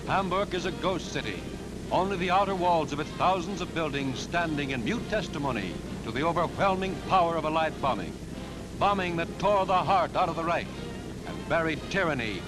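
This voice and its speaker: A man's voice: fairly high pitch, lively intonation, normal speed, poor audio. An American man narrating a scripted 1940s newsreel in a dramatic, declamatory manner, on an archival soundtrack. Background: loud orchestral newsreel music.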